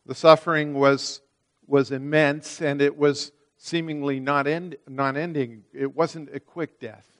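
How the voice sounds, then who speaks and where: A man's voice: on the high side, steady tone, unhurried, fairly bright tone. A man preaching a sermon, reading scripture and then explaining it in an earnest manner, often repeating words as he restarts a phrase.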